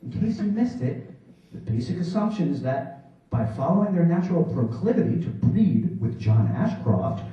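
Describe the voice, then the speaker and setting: A man's voice: fairly high pitch, very animated, moderate pace, dark tone. A man giving a theatrical live poetry reading on stage, with a mock-serious, declamatory delivery. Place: small theater in New York, before a laughing audience. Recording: echoey hall, distant dull microphone.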